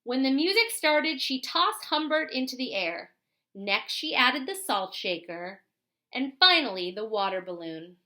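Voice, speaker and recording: A woman reading a children's story aloud expressively, with dramatic emphasis and pauses. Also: sits high, big pitch swings, sharp tone. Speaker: storybook reader.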